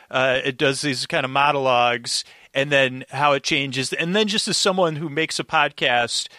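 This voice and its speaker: A man with a bright, forward voice in a dry, close recording. He talks like a podcast guest in casual conversation, rambling and haltingly circling back on his own sentences as he explains. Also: medium pitch, no room sound. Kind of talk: casual interview chat, storytelling.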